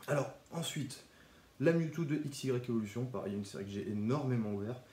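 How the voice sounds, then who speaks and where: A young man with a cold talks in a relaxed, chatty way, showing his graded trading cards in a casual vlog. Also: medium pitch, brisk, roomy sound. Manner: relaxed, chatty, with small restarts. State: has a cold, stuffy. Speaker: Pokémon card collector YouTuber. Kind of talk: casual card showcase vlog.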